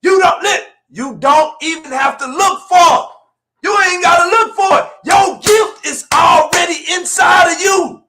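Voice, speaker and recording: A man, preaching motivation in a colloquial, emphatic and repetitive way, moving between low asides and shouted exhortation. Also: a little slow, a crowd in the background. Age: 40s. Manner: colloquial, direct address to listeners. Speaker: motivational speaker. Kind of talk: fiery motivational preaching on a livestream.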